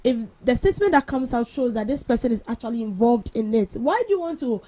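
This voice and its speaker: A woman's voice: on the high side, very animated, warm tone. A young woman with a Ghanaian English accent, co-hosting a breakfast radio talk show and firmly arguing her views on the news. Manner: emphatic, quick to cut in with firm opinions. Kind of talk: casual radio chat about news.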